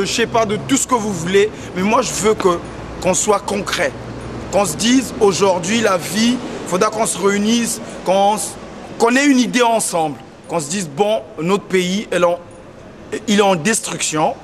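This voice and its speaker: Man with a high voice, fired up, repeats himself a lot, outside with loud traffic noise. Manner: exhorting, repetitive, mixes in English. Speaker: street interviewee. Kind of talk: impassioned street vox pop. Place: busy city street outdoors.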